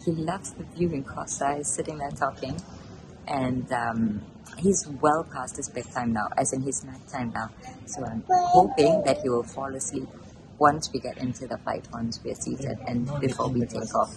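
Low-voiced woman vlogging over travel noise. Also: lively intonation, moderate pace. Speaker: vlogger, mother of a toddler. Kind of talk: casual travel vlog talk to camera. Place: busy airport terminal. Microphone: camera held at arm's length. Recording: clear ambient travel noise behind.

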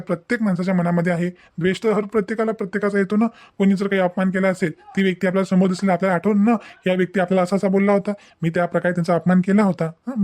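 A man's voice: high voice, darkish tone, close to an everyday voice. A man voicing a patient, devoted young husband in a cartoon family drama, coaxing and repeating himself. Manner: coaxing, repetitive, tags phrases with "haan".

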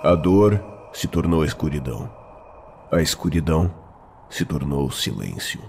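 A man with a Brazilian accent giving grave, deliberate, dramatic narration of a fantasy story. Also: rumbling low, animated, creaky, darkish tone, slight background noise.

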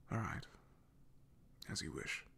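A man playing an awkward, loyal knight in a soft ASMR fantasy roleplay, half-whispering in a breathy, gravelly voice with a hesitant, apologetic, deferential manner. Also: slight background noise. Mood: nervous, eager-to-please. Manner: hesitant, apologetic, halting with throat clearing.

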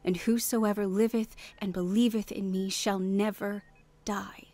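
An actress playing a gentle, reassuring woman of faith in a radio drama, speaking tenderly and earnestly. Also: mid-range voice, flat delivery, a little slow, lightly acted.

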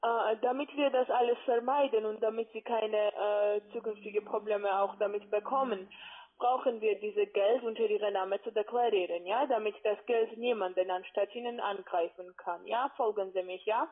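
A woman with a foreign accent, speaking a second language hesitantly as she explains a sales pitch over the phone, often adding questioning checks. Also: fairly even intonation, normal speed. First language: not German, speaks it as a second language. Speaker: call-centre caller posing as financial adviser. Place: phone call. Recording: tinny narrow-band phone line.

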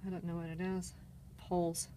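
A woman's voice: lowish voice, level tone, normal speed, dark tone, slight background noise. A woman with a Canadian accent casually walks through an antique mall, listing items and prices while filming on her phone. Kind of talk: casual walk-through narration. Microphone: handheld phone camera.

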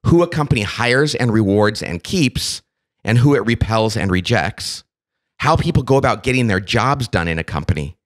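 Guy hosting a podcast with a cold, easygoing, jokey, kinda stuffy. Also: lowish voice. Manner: relaxed, self-deprecating, joking. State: has a cold. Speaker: podcast host. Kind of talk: casual podcast chat.

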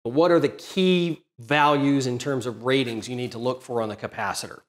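A man's voice: mid-range voice, fairly quick. A middle-aged man hosting a workshop channel, explaining a welder modification to the camera in a plain, methodical way, recorded in his home workshop. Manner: methodical, plain-spoken, technical. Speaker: DIY welding channel host. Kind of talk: explanatory tutorial talk to camera.

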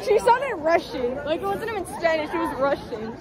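A woman's voice: very high-pitched, very animated, fairly quick, a loud crowd. A teenage girl chatting with friends on a school campus, teasing and laughing, picked up by a friend's phone. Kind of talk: casual chat. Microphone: friend's phone camera.

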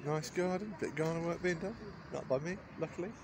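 A man's voice: fairly high pitch, fast, background noise. A man in his forties rambling casually through a walk-around vlog in a wry, understated, chatty way, recorded on a handheld phone. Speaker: vlogger. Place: outdoors in a garden. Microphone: handheld phone at arm's length.

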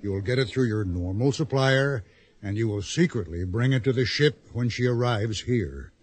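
An actor playing a scheming, self-assured hijacker in a radio drama, coaxing with deliberate, insinuating pauses. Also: male voice, medium pitch, lively intonation, natural voice. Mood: persuasive, firm.

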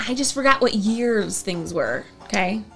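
An American woman in her thirties co-hosting a show, bantering casually in a playful, expressive way. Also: medium pitch, measured pace, vocal fry, soft background music. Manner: playful, expressive, incredulous asides. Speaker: co-host of a whiskey review show. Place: small indoor home-style bar set.

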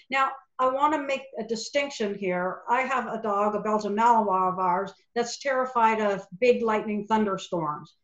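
A middle-aged woman who owns a lavender farm, chatting enthusiastically through a slide presentation. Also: a bit bright. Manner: enthusiastic, chatty product pitch. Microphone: webcam video call. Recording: echoey, muffled video call audio.

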